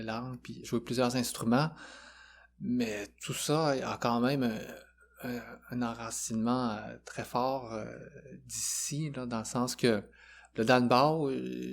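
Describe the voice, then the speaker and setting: A man's voice: medium pitch, creaky, slight background noise. A man in his forties with a Quebec French accent, a musician talking thoughtfully as a podcast guest, with frequent hesitations. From Quebec, Canada. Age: 40s. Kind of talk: casual conversation.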